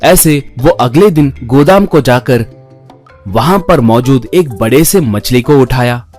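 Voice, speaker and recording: A man's voice: mid-range voice, animated, slow, darkish tone, faint music behind. A man narrating a scripted children's story as the storyteller.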